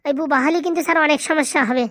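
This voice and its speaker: A woman's voice: sits high, very fast, a bit bright, no echo, clean studio sound. A woman voicing a scheming, fretful male village sidekick in a comic cartoon, chattering deferentially in dialect. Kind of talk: comic Bengali cartoon dialogue.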